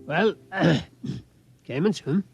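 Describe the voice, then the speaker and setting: A man's voice: on the high side, animated, extremely slow, gravelly, a little mellow, soft background music, exaggerated voice. An actor playing an ailing, stubborn old tycoon in a 1950s radio drama, rambling and tacking questioning hums onto his phrases. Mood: wary. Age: older. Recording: dull, band-limited old radio recording.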